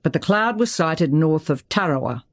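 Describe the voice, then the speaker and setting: A narrator voicing a tense, self-controlled male politician in uniform, cautious and measured. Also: medium pitch, expressive, no echo, studio quality, lightly acted.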